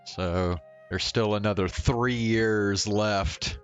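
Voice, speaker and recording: A man's voice: lowish voice, fairly even intonation, slow pace, clear, resonant tone. A man chatting casually on a game livestream, rambling and hesitant as he thinks aloud, with game music behind him. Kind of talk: casual chat while playing a game.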